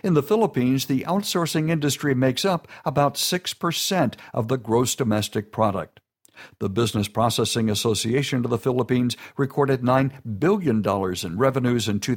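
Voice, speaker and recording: A man giving a steady, informative scripted narration. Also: mid-range voice.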